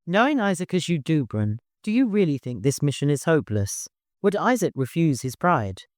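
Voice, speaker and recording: A careful, dutiful steward, played by a male narrator, speaks frankly and formally. He is a storybook figure with a slightly raised pitch and a very dry sound. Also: very animated, normal speed, dry recording. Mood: questioning.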